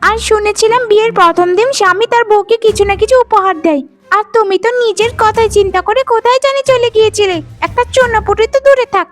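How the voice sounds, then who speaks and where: A woman voicing a selfish, sharp-tongued young twin bride in a cartoon, scolding and demanding. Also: very high-pitched, fast, soft background music. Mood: aggrieved, sulky. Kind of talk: animated moral-tale voice acting.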